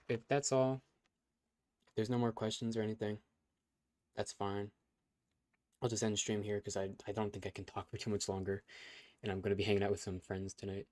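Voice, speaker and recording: Young man chatting on a live stream, low voice. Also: darkish tone. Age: young adult. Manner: rambling, lots of fillers and restarts. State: voice going scratchy. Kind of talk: casual live stream chat.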